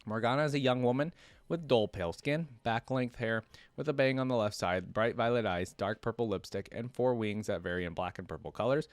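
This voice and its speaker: A man's voice: medium pitch, fairly quick, no room sound, faint music behind. A man hosting a casual reaction video, reading reference text aloud and breaking off for informal commentary. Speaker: YouTube reaction host.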